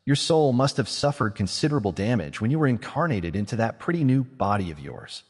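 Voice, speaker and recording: A man's voice: mid-range voice, sing-song, fairly quick, big-room sound. A man voicing a guarded, blunt young male mage who speaks dryly and confrontationally. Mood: probing.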